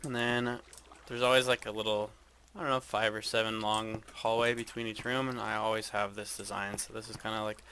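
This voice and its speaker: Guy with a flat low voice, lots of ums, showing off his game base, noisy mic. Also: brisk. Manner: monotone, hesitant with many ums. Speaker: gaming video creator. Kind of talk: casual game walkthrough.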